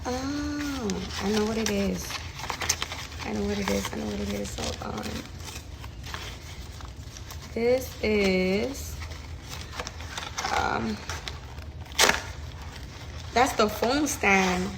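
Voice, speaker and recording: Excited woman with a gravelly voice unboxing. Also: mid-range voice, takes it slowly, pauses often, heavy background noise. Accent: non-native English accent. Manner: chatty and excited, lots of fillers. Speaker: nail technician. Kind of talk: casual unboxing vlog. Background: loud crinkling and rustling of packaging.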